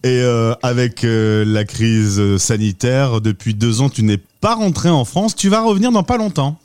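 A man's voice: medium pitch, animated, moderate pace, a little mellow, clean tone. A man hosting a radio interview, asking conversational, leading questions with some fillers.

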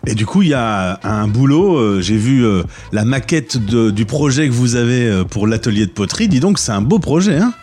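A man hosting a radio interview, asking questions in a relaxed way with some hesitations. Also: medium pitch, moderate pace, hardly any pauses. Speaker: radio host.

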